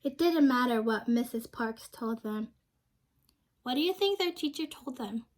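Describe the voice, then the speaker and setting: A woman's voice: on the high side, expressive, a little slow. A young woman reading a storybook aloud to children, speaking simply and expressively and prompting her listeners with questions. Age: young adult.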